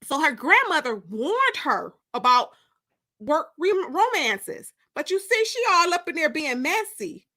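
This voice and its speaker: An expressive, theatrical woman hosting story time, moving between dramatic narration and casual chat. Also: high voice, a little slow. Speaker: author and host of live story time.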